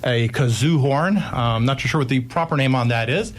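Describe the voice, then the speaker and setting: Male interviewee, sound-effects performer, average pitch, casual meandering explanations, jokey touch. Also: a little fast.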